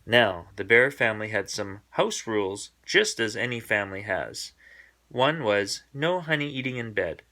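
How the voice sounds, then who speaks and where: Male storybook narrator, bright forward voice, expressive bedtime read-aloud for young children. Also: on the low side, normal speed.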